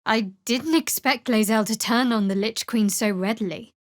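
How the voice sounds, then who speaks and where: Woman acting young female fantasy cleric, devout, guarded, cool wry delivery, lightly high pitch, dry studio sound, video game character. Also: normal speed, dry recording, lightly acted. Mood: dry, teasing.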